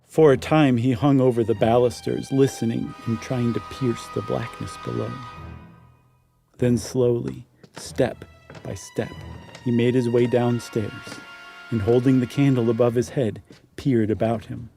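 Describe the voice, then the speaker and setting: A man's voice: medium pitch, steady tone, unhurried, vocal fry, mellow. A man co-hosting and reading a horror story dramatically, with casual commentary. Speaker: podcast host and story reader. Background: music.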